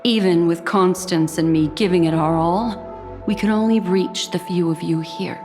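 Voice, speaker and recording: An actress playing a composed, enigmatic mystic woman in a video game, grave and deliberate in her delivery. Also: lowish voice, close to an everyday voice. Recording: long reverb, music underneath.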